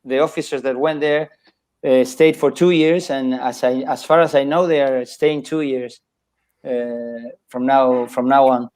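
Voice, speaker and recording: An older man from Argentina, talking as a guest author on a video call, halting and restarting often with small chuckles. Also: mid-range voice, steady tone, darkish tone. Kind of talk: casual chat on a video call.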